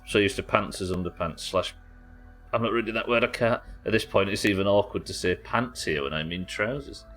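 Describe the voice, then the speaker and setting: A man's voice: fairly low pitch, a little fast, fairly bright tone, soft background music. A young British man hosting a live gaming stream, casually rambling and reading chat messages aloud as he plays. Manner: rambling, reads chat messages aloud and muses over them. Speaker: streamer host.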